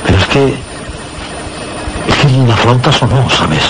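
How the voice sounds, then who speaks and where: An actor playing an impressionable, uneasy narrator-protagonist in a Spanish radio suspense drama, with a Castilian accent and an earnest manner. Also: male voice, mid-range voice, expressive, measured pace, natural voice. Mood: uneasy, disbelieving. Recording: heavily noisy radio drama recording.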